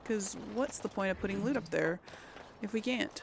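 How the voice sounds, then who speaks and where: A woman hosting a casual let's play, thinking aloud in a folksy way with a creaky voice as she comments on the game. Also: medium pitch, moderate pace. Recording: faint background game noise.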